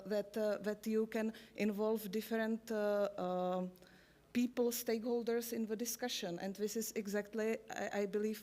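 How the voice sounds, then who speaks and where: Flat-voiced hesitant woman panelist. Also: mid-range voice. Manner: hesitant, searching for words. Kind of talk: panel discussion.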